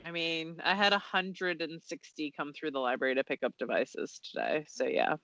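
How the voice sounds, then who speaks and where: A woman's voice: low voice, normal speed, a bit bright, no room sound. An American woman with a slightly scratchy voice, chatting casually as a podcast co-host. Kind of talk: casual chat.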